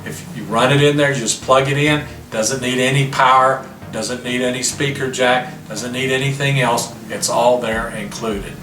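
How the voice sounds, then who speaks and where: A man's voice: medium pitch, steady tone, moderate pace, hardly any pauses, background noise. A man giving a product demonstration as a specialist, explaining things in a plain-spoken way. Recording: roomy, distant mic with music behind. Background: music.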